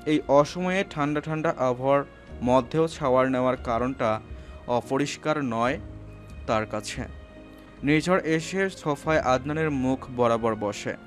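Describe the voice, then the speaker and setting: A man's voice: medium pitch, normal speed. A man narrating a scripted romantic story, with music playing underneath.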